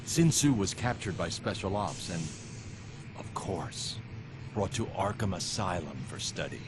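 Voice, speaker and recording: A man playing a stern, terse masked vigilante hero in a video game, with loud music over him. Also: low voice, animated, measured pace, background noise. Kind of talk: video game dramatic acting.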